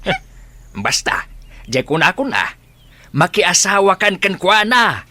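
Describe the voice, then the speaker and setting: A man's voice: sits high, sing-song, slow pace, bright, forceful tone, heavily acted. A man with an Ilocano accent playing a domineering, greedy father in a radio drama, bossy, curt and insistent. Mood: pushy, scolding.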